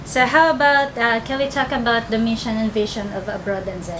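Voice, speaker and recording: A woman's voice: on the high side, fairly even intonation, fairly quick, background noise. A young woman hosting her channel's interview, upbeat and chatty, using "like" as she talks. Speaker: YouTube channel host. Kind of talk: friendly interview. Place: small office room, seated at a table.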